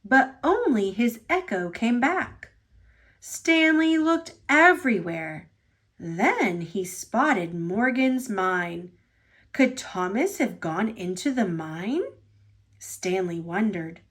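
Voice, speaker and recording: Woman reading a children's story with lively pitch. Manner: dramatic, deliberate phrasing for young listeners. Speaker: storybook reader. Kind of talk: expressive children's read-aloud narration.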